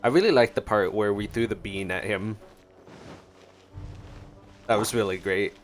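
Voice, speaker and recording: A man's voice: lowish voice, measured pace, faint music behind. A man giving casual reaction commentary as a gameplay streamer.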